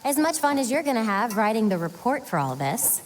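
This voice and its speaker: Casting: teasing young game heroine, breezy, very varied intonation, faint noise behind. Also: female voice, on the high side, big pitch swings, a little fast. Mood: playful. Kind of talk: video game acting.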